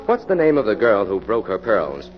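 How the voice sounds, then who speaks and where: A man's voice: on the low side, wildly swooping pitch, normal speed. A man playing a cool, authoritative police detective in 1940s radio style, speaking firmly in clipped, commanding phrases. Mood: stern. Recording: muffled old radio recording, music behind.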